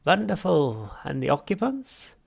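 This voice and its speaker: Guy doing a smug alien shrink from a storybook, way up high, loud and sugary, talks down to you. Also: very animated, unhurried, vocal fry, breathy edge, full character voice. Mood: brisk delight. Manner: unctuous, patronising, brightly over-articulated. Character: smug, falsely cheerful male alien psychiatrist. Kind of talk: audiobook character voice.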